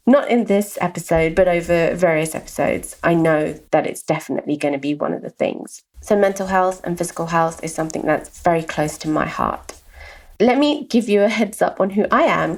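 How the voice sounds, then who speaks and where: Earnest London woman, lowish voice. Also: poor audio. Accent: London, softened. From London, England. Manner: earnest, repeats words for emphasis. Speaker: podcast host. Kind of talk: reflective solo podcast monologue.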